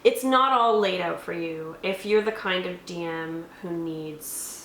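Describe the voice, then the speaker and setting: A woman co-hosting a casual game review, explaining things in a measured, conversational way as the game master. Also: fairly low pitch, fairly bright tone. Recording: echoey room, faint background noise.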